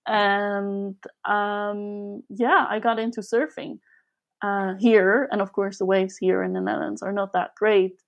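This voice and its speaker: A woman's voice: medium pitch, flat delivery, a little slow, gravelly. Young woman from Switzerland, chatting casually. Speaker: podcast guest. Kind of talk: casual conversational interview.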